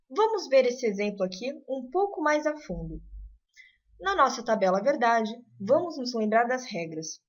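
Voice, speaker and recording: A woman, teaching a recorded math lesson in a clear, patient, explanatory way. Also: a little high.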